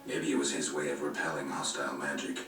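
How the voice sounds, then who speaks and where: A gruff, stoic monster hunter with an extremely deep, gravelly voice. He speaks in terse, understated lines like a weary game hero. The sound is roomy and slightly distant. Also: little pitch movement, fast talker, over music. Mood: weary, matter-of-fact. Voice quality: gravelly, low. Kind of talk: video game cutscene dialogue.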